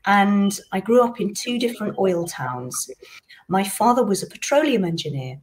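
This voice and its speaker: A woman's voice: mid-range voice, animated, normal speed, poor audio. A woman from Britain, a poet, telling her story in a reflective way over a video call. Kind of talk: casual conversation.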